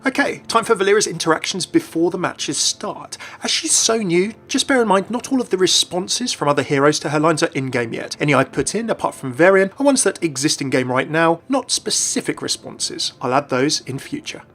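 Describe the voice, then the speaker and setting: A man's voice: fairly high pitch, expressive, fairly quick, few pauses, a bit bright. A man narrating a scripted gaming explainer in a chatty way with wry asides. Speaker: video narrator and game commentator. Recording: voice-over with light background music. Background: background music.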